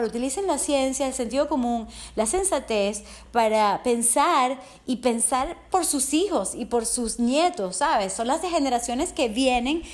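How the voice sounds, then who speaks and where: A slightly hoarse woman speaking as a coach in an earnest, heartfelt motivational podcast monologue, often checking in with the listener. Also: high voice, darkish tone. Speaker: resilience and fitness coach.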